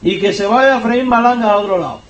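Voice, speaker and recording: A man with a Cuban accent, preaching a sermon as pastor, driving his points home by climbing in pitch and volume. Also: fast, highs cut off. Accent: Cuban Spanish. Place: church sanctuary. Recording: echoey church hall, voice from far off.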